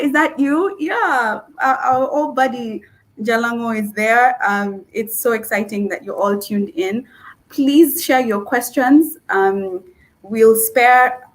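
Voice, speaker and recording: A woman's voice: a little high, poor audio. A woman hosting a livestream in an informal, effusive and enthusiastic way. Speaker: livestream host.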